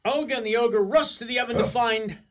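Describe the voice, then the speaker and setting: A man's voice: extremely high pitch, a little fast, fairly bright tone. A man narrating a fairy-tale radio play in a dramatic storybook style, theatrical and wry. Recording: clearly noisy background.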